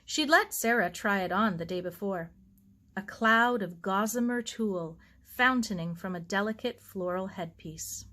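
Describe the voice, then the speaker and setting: A woman's voice: mid-range voice, unhurried, sharp tone. A woman narrating a children's novel aloud as a storyteller. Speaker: story reader.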